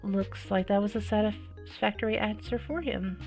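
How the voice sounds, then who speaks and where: A woman narrating in first person as a flustered, anxious high schooler in a visual novel. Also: normal speed, a little mellow, faint music behind, close to an everyday voice. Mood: torn, anxious.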